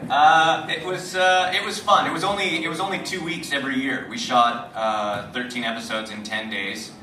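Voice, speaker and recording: Relaxed man joking on a panel. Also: medium pitch, normal speed. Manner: relaxed, self-mocking. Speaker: voice actor on a panel. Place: convention panel room. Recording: echoey hall, distant camera, crowd noise.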